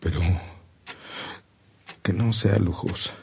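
An actor playing a frail, humble dying old priest in a radio drama, with a gravelly, husky voice and an earnest, weak delivery. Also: male voice, fairly low pitch, steady tone, slow pace, a little mellow, heavily acted. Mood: humble, pleading. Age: older.

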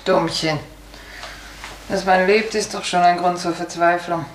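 An actress playing a weary, hard-drinking older serf aunt in a radio play, resigned and sardonic in a measured delivery. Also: female voice, lowish voice, steady tone, close to an everyday voice. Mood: dry, wry. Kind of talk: 1950s German radio play acting. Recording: echoey, hissy 1950s radio recording.

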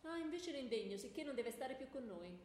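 A woman's voice: high voice, lively intonation, fast talker, a little mellow. A woman playing an indignant, jealous goddess wife in comic radio theatre, melodramatic and scandalized. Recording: echoey hall with faint background noise.

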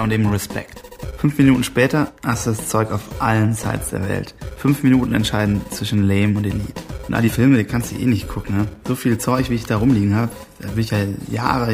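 A self-important hacker courier, talking as if interviewed for a radio documentary. His lowish voice is colloquial and insistent, full of slang and tag questions, over music. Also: fairly even intonation, brisk, vocal fry. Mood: proud, casual. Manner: colloquial, insistent, tags phrases with "ja?" and "ne?". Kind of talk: casual interview-like monologue in radio play. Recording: music behind the voice.

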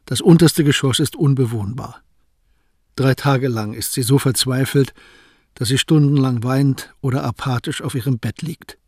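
Husky male radio-drama narrator. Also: medium pitch, normal speed, a little mellow, no room sound. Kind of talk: scripted narration. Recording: dry close recording with music.

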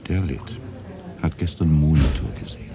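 An actor playing a gruff, wry local man who acts as a reporter's minder, talking in dry, casual mutters and emphatic protests in a naturalistic radio drama. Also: very deep voice, animated, brisk, a crowd in the background, exaggerated voice. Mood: confiding.

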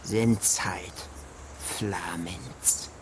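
An actor playing a callous, evasive village gamekeeper in a radio play, gravelly and terse with a grudging, dismissive manner. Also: male voice, deep voice, steady tone, drawn-out words. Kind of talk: German radio drama dialogue. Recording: noisy background ambience.

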